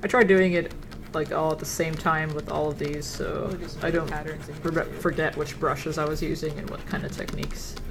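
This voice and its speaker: A young woman with a low voice walks viewers through her painting in a loose, rambling way. The recording carries a steady wash of background noise, with her speech repeating over itself. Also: fairly quick. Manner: casual, thinking aloud while painting. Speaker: digital painting instructor. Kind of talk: live-stream painting tutorial.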